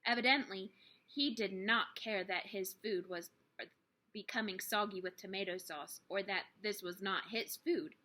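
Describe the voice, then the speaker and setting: A woman's voice: medium pitch, unhurried, sharp tone. A woman reading a children's book aloud expressively, with dramatic, emphatic phrasing for young listeners. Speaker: teacher reading a story aloud.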